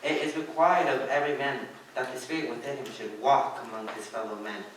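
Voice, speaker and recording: A young student actor playing a solemn, reproachful male ghost in a radio play, slow and portentous. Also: medium pitch, fairly even intonation, lightly acted. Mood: solemn, eerie. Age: teenager or young adult. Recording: echoey room, far mic, background noise.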